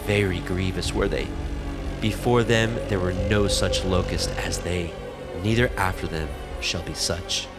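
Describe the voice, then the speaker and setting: Low-voiced male scripture narrator. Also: steady tone, loud music behind the voice. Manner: measured, formal.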